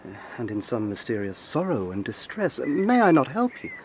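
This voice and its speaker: An actor playing an earnest, persistent young gentleman lawyer in a period audio drama, speaking formally. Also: mid-range voice, wildly swooping pitch, moderate pace, slight background noise, low-quality recording. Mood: earnest, tender. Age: young adult. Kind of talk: British period audio drama, narration and dialogue.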